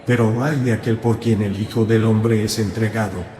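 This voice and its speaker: A man voicing a calm, grave male teacher in a dramatized gospel reading, speaking in a solemn, measured way. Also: fairly low pitch, roomy sound, long reverb tail, lightly acted.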